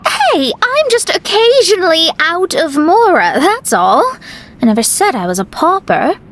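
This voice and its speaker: A woman voicing a proud, easily flustered young astrologer in a video game, defensive and halting. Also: extremely high pitch, sing-song, fairly bright tone, heavily acted.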